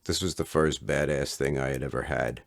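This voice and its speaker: Very deep, gravelly male storyteller. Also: fairly even intonation, dry recording, clean studio sound. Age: middle-aged. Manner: measured, literate storytelling delivery. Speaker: author reading own comic story. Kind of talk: scripted autobiographical narration.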